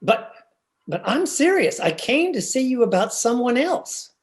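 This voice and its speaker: A man's voice: very high voice, big pitch swings, a little slow, exaggerated voice. A man playing an earnest, worried husband, hesitant and exasperated, in old-time radio comedy style.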